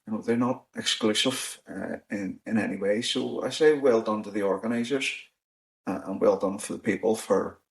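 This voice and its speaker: A Northern Irish man hosting a podcast, talking in a measured way with frequent restarts and "you know"s. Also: on the low side, steady tone, gravelly, poor audio. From Northern Ireland. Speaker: podcast host. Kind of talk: casual discussion. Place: in-person recording on the Shankill, Belfast.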